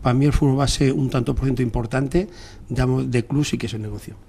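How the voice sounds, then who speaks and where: A man's voice: medium pitch, fairly even intonation, quick pace, gravelly, mellow, a little hiss or hum. A man with an accent from Spain arguing off the cuff as a debate guest, repeating his points for emphasis. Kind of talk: spontaneous panel debate.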